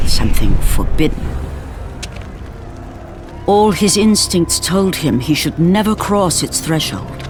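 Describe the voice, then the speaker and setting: Slow, grave storytelling woman. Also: lowish voice. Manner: measured, solemn storytelling. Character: grave female narrator of an epic myth. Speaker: narrator. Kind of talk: scripted epic narration.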